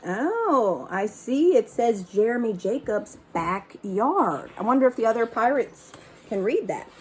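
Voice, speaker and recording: A woman's voice: on the high side, sing-song, unhurried, a little hiss or hum. A middle-aged woman reading a picture book aloud and chatting casually to young listeners. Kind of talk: read-aloud storytelling with casual asides.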